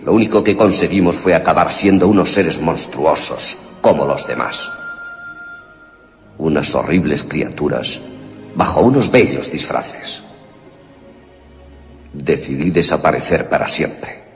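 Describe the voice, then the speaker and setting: Un actor con acento castellano interpreta en un radioteatro de suspenso a un científico padre de familia, implacable y atormentado, de tono teatral y autoritario. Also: male voice, very deep voice, a little slow, long pauses. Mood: tormented, confessional. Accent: Castilian Spanish. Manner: theatrical, authoritative. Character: ruthless, tormented scientist father justifying monstrous experiments. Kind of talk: suspense radio drama acting. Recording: long reverberation, music underneath.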